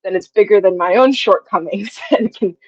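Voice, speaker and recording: A woman's voice: mid-range voice, moderate pace, slightly rough, no room sound, dull audio. A young woman chatting casually as an interview guest, effusive and given to telling things through quoted asides. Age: young adult.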